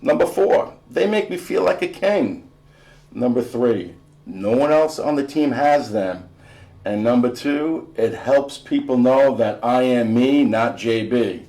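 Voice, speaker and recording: A man reading a novel in verse aloud in an expressive, storytelling way. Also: medium pitch. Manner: expressive, acts out dialogue between family members. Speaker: reader from a school community.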